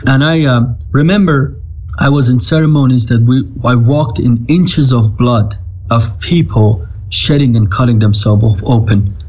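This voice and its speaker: A man's voice: medium pitch, a little mellow, lo-fi sound. Iranian man in his thirties, earnest testimony. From Iran. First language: Farsi. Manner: earnest, halting, with frequent fillers and restarts. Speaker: interviewee telling his life story. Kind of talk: personal testimony. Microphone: video call.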